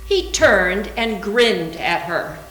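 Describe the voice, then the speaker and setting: Female narrator, medium pitch, scripted story reading, roomy echo, faint hum. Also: expressive, slow, a bit bright. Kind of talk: scripted narration, readers theater. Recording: echoey room with faint hum.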